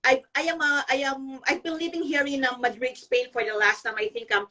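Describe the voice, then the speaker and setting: A woman's voice: high-pitched, steady tone, brisk, sharp tone, distant mic. A middle-aged woman from the Philippines, a guest blogger on a live video interview, speaking earnestly with many ums and restarts. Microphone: video call.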